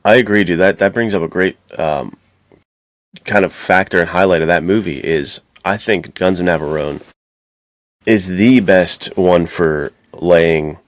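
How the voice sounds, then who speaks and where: A man's voice: low-pitched, a little slow, no room sound. A man co-hosting a podcast, casually discussing stories with emphatic, slangy enthusiasm. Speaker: podcast co-host. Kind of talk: casual film and book discussion.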